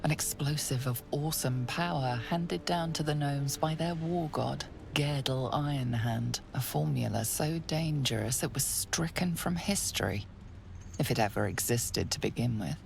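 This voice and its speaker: Game storyteller: female, very deep, creaky, ominous and literary, scripted narration with music beneath. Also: normal speed, a little mellow, slight background noise. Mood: grave, storytelling.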